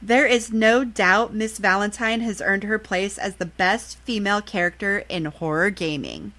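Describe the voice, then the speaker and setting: A woman's voice: mid-range voice, unhurried, bright, forceful tone. A woman presenting a podcast segment, reading a prepared countdown report from a script. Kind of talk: scripted countdown report on horror video games.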